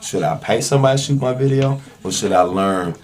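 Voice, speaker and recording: A man's voice: lowish voice, a little fast, darkish tone, slight background noise. A man telling his story as a podcast guest, conversational, with frequent "you know" asides. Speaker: podcast guest, actor and videographer. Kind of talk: casual interview storytelling.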